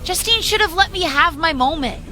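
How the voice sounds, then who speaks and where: A woman's voice: very high voice, lively intonation, normal speed, sharp tone, a little hiss or hum, full character voice. An actress playing a betrayed young female wrestler, speaking in a terse, resentful manner. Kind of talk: video game cutscene dialogue.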